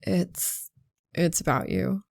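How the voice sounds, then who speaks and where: Woman voicing a gruff, flustered college guy. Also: fairly low pitch, darkish tone, no echo, clean studio sound, exaggerated voice. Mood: sheepish. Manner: halting, rambling, sheepish. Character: flustered, embarrassed young male college student confessing a crush.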